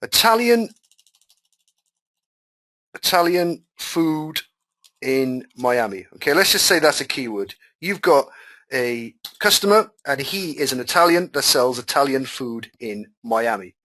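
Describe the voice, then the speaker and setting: A man's voice: mid-range voice, expressive, a little slow, pushed and bright. A man whose first language is Welsh hosts a live webinar. He reads out students' questions and answers them in a chatty, repetitive way, over a computer mic. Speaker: webinar host and marketing coach.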